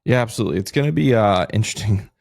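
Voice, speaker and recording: A man's voice: lowish voice, animated, racing, gravelly, darkish tone, no echo, clean studio sound. A man co-hosting a sports podcast, talking casually and with enthusiasm.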